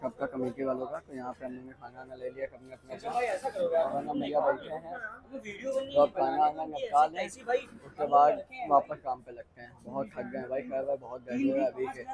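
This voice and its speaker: A young man chatting to viewers in a casual vlog, his voice hoarse from fever and the heat. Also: medium pitch, animated, moderate pace, low-quality recording. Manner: chatty, addresses viewers as bhaiya. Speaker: vlogger. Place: outdoors at a busy street food hall. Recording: outdoor phone recording with crowd and music. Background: crowd noise and music.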